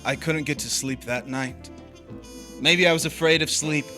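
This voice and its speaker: An uneasy drifter lover in a noir radio-style drama. His voice is fairly high and forceful, with terse, tense delivery over music. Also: steady tone, normal speed. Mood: brooding narration. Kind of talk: crime drama acting with first-person narration.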